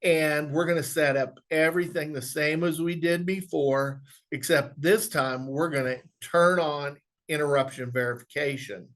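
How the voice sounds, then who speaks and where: A man presenting an instructional software walkthrough, explaining step by step in a conversational way. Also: fairly high pitch, moderate pace. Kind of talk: instructional screen walkthrough, informal webinar.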